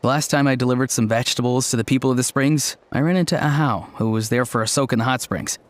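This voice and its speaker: A man's voice: mid-range voice, fast, slight background noise, natural voice. An actor playing an earnest, easygoing young tribesman in a video game, explaining things plainly. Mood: amused, explaining. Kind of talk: video game character acting.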